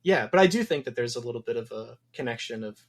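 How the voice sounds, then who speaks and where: Man from wisconsin, podcast guest, thoughtful, rambling, lots of ums, mid-pitched voice. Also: animated, fast. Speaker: game developer guest. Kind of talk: casual podcast interview.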